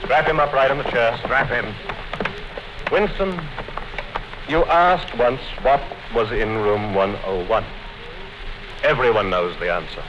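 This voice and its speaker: An actor playing a calm, coldly menacing male interrogator in a 1950s radio drama. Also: medium pitch, unhurried, pauses often, lightly acted. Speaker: O'Brien. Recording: dull noisy 1950s radio broadcast.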